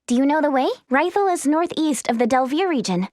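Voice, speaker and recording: A woman's voice: high voice, lively intonation, a little fast, darkish tone, no echo, clean studio sound. A woman playing an earnest, kindly young healer's helper in a video game, speaking politely and eagerly in scripted lines. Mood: businesslike, friendly.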